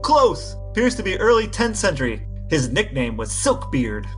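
A man chatting casually as a podcast co-host, with a wry, deadpan manner. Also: sits high, moderate pace, faint music behind.

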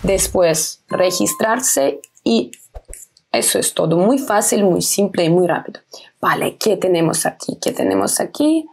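Husky-voiced woman walking through a trading screen. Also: mid-range voice, animated, a little slow, darkish tone. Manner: simple phrasing, repeats herself, frequent self-corrections. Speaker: trading video host. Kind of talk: casual screen-recorded tutorial. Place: indoors at home, webcam in corner.

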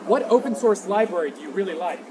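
A man hosts a quick-fire interview, delivering short prepared questions. His voice is echoey, with clear outdoor ambient noise around it. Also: high voice, animated. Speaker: interviewer, host. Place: outdoor city walkway.

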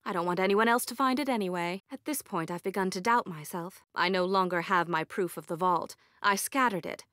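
A woman's voice: fairly low pitch, fairly quick, fairly bright tone, no echo, clean studio sound, close to an everyday voice. An actress playing a haughty, eccentric, jaded woman scientist in a video game, socially awkward, with a curt, formal, condescending manner. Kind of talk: video game character voice lines.

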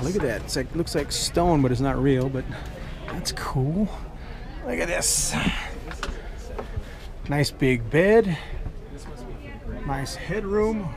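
A man in his forties giving a chatty, upbeat walk-around tour at an outdoor expo, recorded on a handheld action camera. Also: mid-range voice. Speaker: vlogger touring a trade show. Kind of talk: casual walk-around vlog narration. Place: outdoor vehicle expo among crowds. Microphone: action camera held at arm's length. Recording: busy outdoor crowd noise behind.

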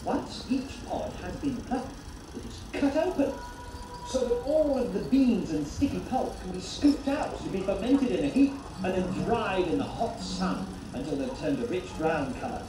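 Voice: medium pitch, expressive, moderate pace, background noise. A narrator delivering scripted exhibit narration in an explanatory tour manner. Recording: distant echoey exhibit speakers with crowd.

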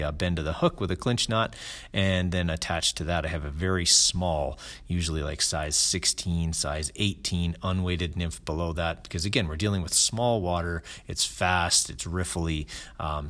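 Deep-voiced man explaining fishing gear. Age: middle-aged. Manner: relaxed, many fillers and restarts. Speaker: fly fishing channel host. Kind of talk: casual gear explainer voice-over.

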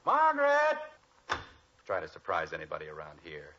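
Exasperated radio sitcom dad, wildly swinging pitch. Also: male voice, huge pitch swings, normal speed, pushed and bright, full character voice. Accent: American. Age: middle-aged. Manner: grumbling, wry sarcasm. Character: exasperated middle-class father of a family. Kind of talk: 1950s radio sitcom acting. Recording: muffled old radio recording, noisy.

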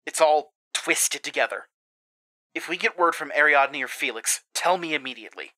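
An actor playing a driven, stern male investigator in an audio drama, with a measured, pressing delivery. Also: fairly high pitch, sharp tone. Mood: grim, resolute.

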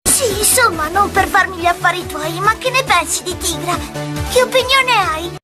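A woman voicing a lively, guileless young character in a dubbed cartoon. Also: very high-pitched, normal speed, over music. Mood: curious. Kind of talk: animated dub acting.

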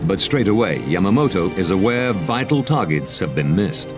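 A man narrating a documentary from a script in a dramatic, measured manner. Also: low-pitched, big pitch swings. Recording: loud music under the voice. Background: dramatic score.

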